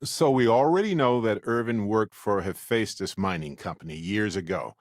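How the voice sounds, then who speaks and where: An actor playing an easygoing, good-hearted male space explorer in a video game, with a wry, chatty and playfully expressive delivery. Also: fairly low pitch, normal speed, no echo, clean studio sound, natural voice. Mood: earnest, reflective. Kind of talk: video game character dialogue.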